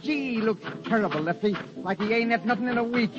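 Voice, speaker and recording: Actor as high-voiced excitable radio sidekick. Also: male voice, fast talker, close to an everyday voice. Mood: anxious. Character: excitable, slangy burlesque stagehand sidekick. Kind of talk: 1930s-40s radio drama acting. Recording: muffled old radio broadcast, music underneath.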